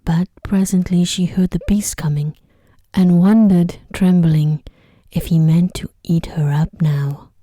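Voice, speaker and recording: Female ASMR storyteller: lowish, soft and whispery, very mellow, gentle soothing delivery, dry sound. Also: fairly even intonation, a little slow, no room sound. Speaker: narrator. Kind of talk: ASMR sleep story narration.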